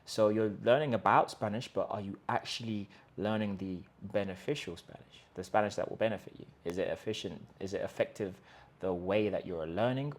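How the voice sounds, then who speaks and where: A man with a fairly low voice delivers an emphatic talk to camera. He stacks up rhetorical questions and restarts his sentences as he pushes his point. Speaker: host of a language-learning channel. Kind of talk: direct-to-camera pitch talk. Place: in Colombia.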